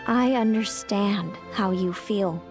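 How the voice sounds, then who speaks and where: Solemn, composed game sister Selena. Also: female voice, mid-range voice, slow, faint music behind. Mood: reflective. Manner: solemn, gentle, reflective. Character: Selena, composed, sorrowful long-lived sister, a young woman. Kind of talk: video game voice acting.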